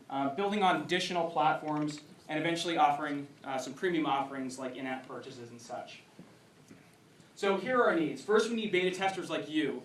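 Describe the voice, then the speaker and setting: A man presenting a pitch to a live audience as a startup founder, in an emphatic stage delivery. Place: indoor event venue, speaking to a crowd. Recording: roomy echoing event hall, distant.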